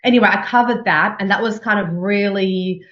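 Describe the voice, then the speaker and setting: A woman's voice: mid-range voice, a little fast, fairly bright tone. A woman in her forties with an Australian accent, talking about her career as a journalist in a chatty interview, with many fillers and restarts and easy laughter. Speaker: journalist and news anchor being interviewed. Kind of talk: conversational interview. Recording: echoey room, slightly dull sound.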